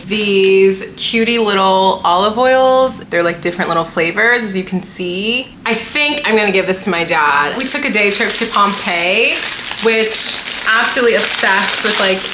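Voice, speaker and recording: A woman's voice: mid-range voice, moderate pace. A young woman chatting casually to camera about things she bought, bubbly and full of "like" fillers. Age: young adult. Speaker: vlogger showing a travel haul. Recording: roomy echo, faint room noise.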